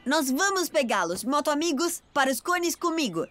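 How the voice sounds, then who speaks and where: A woman's voice: high-pitched, very animated, moderate pace, a bit bright, faint music behind. A woman voicing a young boy motorbike who eagerly leads his friends, with a Brazilian accent. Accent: Brazilian Portuguese. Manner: upbeat, takes charge.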